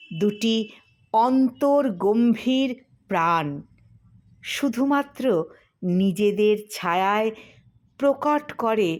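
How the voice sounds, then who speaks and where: Slow-paced woman reciting poetry. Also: on the high side. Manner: measured, short phrases with deliberate pauses.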